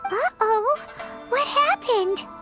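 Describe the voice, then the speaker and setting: A woman's voice: very high voice, lively intonation, extremely slow, heavily acted. A woman voicing a tiny, childlike, nosy fairy companion in a video game, speaking in an exclamatory way. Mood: startled. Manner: refers to self in third person, exclamatory. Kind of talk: video game character voice acting. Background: music.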